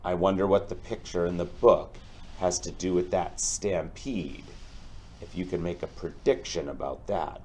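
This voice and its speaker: A man teaching through a storybook read-aloud, speaking deliberately and explaining things directly to his pupils. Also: low-pitched, darkish tone, a little hiss or hum.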